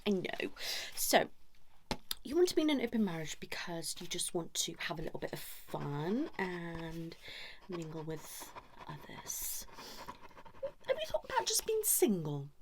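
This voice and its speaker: A woman's voice: fairly low pitch, a little hiss or hum. Woman role-playing a whispery, blunt couples therapist, ASMR. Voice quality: breathy soft-spoken, often slipping into whisper. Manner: fussy, chiding, pausing as if listening to clients. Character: blunt, judgmental female couples therapist, brisk and exasperated. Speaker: ASMR role-play performer.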